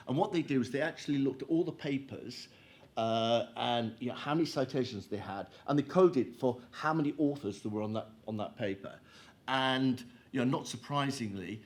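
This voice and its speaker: A man chairing a conference panel, talking with many fillers, restarts and "you know"s. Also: mid-range voice. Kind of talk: hesitant conference moderation.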